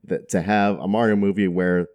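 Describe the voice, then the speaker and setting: A man's voice: low-pitched, little pitch movement, normal speed, darkish tone. A man speaking as a guest in a casual podcast chat, with a wry, jokey way of talking.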